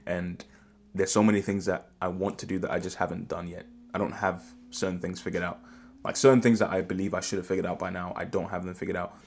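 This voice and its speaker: A man's voice: sits low, vocal fry. A young man, talking earnestly in a reflective personal vlog and often rambling and restarting his sentences. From Ghana. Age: 20s. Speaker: vlogger.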